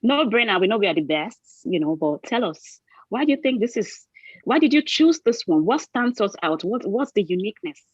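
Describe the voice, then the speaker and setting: A woman's voice: medium pitch, very animated, brisk, husky, no echo. Woman host with a dull, dry sound. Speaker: host interviewer. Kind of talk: livestream interview questions.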